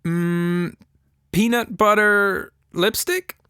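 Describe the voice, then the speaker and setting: A man's voice: high-pitched, very slow, pushed and bright, smooth, dry recording, clean studio sound, pitched above the performer's own voice, exaggerated voice. A man voicing a bored, goofy, defiant little girl in a children's story. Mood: goofy, indignant.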